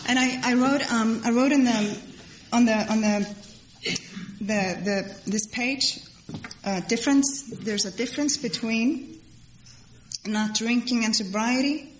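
Middle aged lady giving a testimony talk, halting and rambly, echoey hall w a bit of noise. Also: fairly high pitch. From Iceland. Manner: halting, rambling, repeats phrases for emphasis. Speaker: speaker at a recovery meeting. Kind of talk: personal testimony to a crowd. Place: large meeting hall before a crowd.

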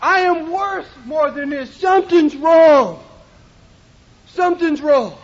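A man giving an impassioned personal testimony at a recovery meeting, often shouting for emphasis. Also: very high voice, slow, lo-fi sound. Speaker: recovery speaker.

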